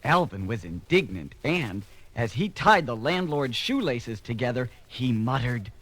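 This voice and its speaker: A man's voice: medium pitch, sing-song, measured pace, fairly bright tone, no room sound. A man narrating a children's read-along story from a script. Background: music.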